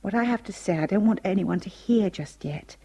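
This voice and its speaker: A young maid from London, hushed and guarded, dark mellow husky voice, radio play. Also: female voice, mid-range voice, brisk. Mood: secretive, urgent.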